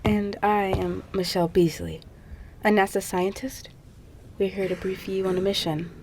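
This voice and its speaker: A woman playing an earnest scientist giving a briefing, measured and explanatory, in an audio drama. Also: medium pitch, flat delivery, a little mellow, slight background noise, natural voice.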